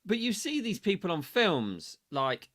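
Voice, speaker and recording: A man hosting a casual interview, chatty and quick to laugh. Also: sits high, wildly swooping pitch, a bit bright. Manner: chatty, laughs easily, says "mate". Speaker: podcast host.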